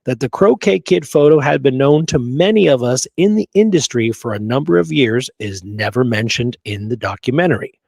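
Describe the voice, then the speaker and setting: A man's voice: medium pitch, expressive, moderate pace, gravelly, few pauses, darkish tone, no room sound. Man co-hosting, very dry close sound. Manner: frequent "you know" and checking "okay?", "right?". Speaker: show co-host. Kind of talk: discussion reading out quotes.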